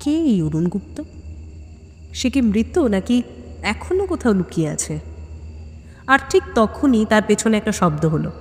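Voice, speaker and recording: A woman's voice: mid-range voice, big pitch swings. Woman narrating suspense in long, hall-like reverb. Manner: deliberate phrasing with dramatic pauses. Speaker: audio story narrator. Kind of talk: dramatic suspense story narration.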